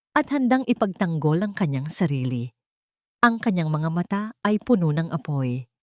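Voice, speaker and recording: A female audiobook narrator with a somewhat low pitch tells a dramatic story. Her delivery is scripted storytelling, and the recording is close and free of room sound. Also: darkish tone, no room sound.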